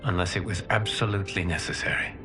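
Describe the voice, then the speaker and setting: An actor playing a noble, grave protector god in a video game, with formal, earnest, measured delivery. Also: male voice, sits low, fairly even intonation, over music, close to an everyday voice. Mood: grateful, solemn. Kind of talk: dramatic video game cutscene acting.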